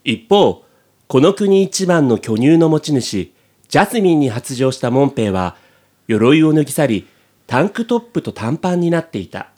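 A man's voice: on the high side, sing-song, normal speed, a little mellow. A man narrating a scripted comic radio drama in a dramatic storytelling style.

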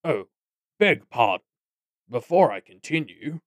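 A man voicing a pompous, menacing goblin boss in an audiobook, with wordy, deliberate speech. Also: mid-range voice, very animated, no echo, clean studio sound, pitched above the performer's own voice. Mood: pompous, controlling. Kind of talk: audiobook character acting.

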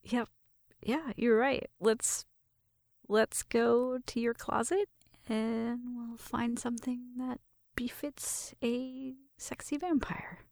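A woman playing a shy, flirtatious roommate in an intimate ASMR roleplay, speaking in a breathy, hesitant, tender whisper. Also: fairly high pitch, steady tone, takes it slowly, darkish tone, no room sound, studio quality. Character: shy, flirtatious female roommate giving an affectionate Halloween makeover.

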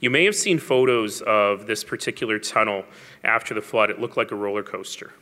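A man in his forties delivering a humorous public speech with a lowish pitch and a bright, forceful tone. He tells stories with wry asides and emphatic punches. The sound carries a long reverb from a large hall. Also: brisk. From Canada. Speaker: mayor giving a luncheon speech.

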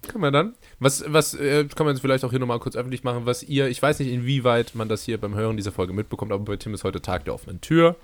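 A man's voice: mid-range voice, fast, few pauses, dry recording. A young man co-hosting a casual podcast, making dry, ironic asides and steering the talk to the next question. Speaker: podcast co-host.

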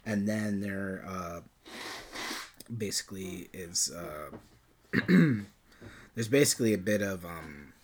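A young man co-hosting a casual film podcast, his voice swinging between high and deep as he talks loudly. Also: slow, long pauses, slight background noise. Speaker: podcast co-host.

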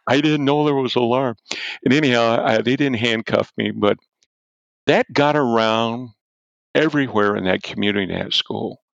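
An older man, a former football coach, telling a story loudly and animatedly as an interview guest and chuckling as he goes. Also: mid-range voice, moderate pace, creaky, no echo. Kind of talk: storytelling in a podcast-style interview. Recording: dull, dry lo-fi audio.

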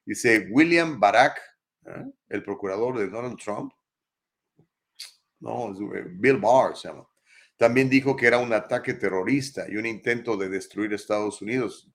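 A middle-aged man with a Mexican accent and a slightly low voice hosts a live show. He chats loosely, reading audience comments and answering each one. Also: moderate pace. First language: Spanish. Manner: casual, chatty, reads comments aloud and answers them. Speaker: talk show host.

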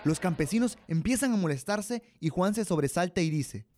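A man's voice: sits high, expressive, moderate pace, a little mellow, a little hiss or hum. A young man with a Mexican accent presenting and narrating a scripted radio drama. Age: young adult.

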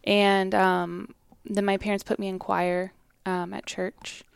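A woman with a soft voice speaking as a musician guest in a casual interview, hesitant and full of fillers and restarts. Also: medium pitch, level tone, takes it slowly, gravelly, smooth, dry recording.

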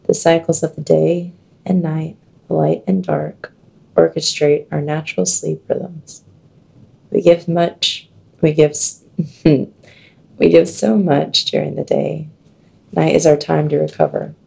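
A woman with a scratchy, deep voice, chatting casually and slowly reading an oracle card aloud. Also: flat delivery, creaky, slightly rough. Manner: slow, dreamy reading with soft laughs.

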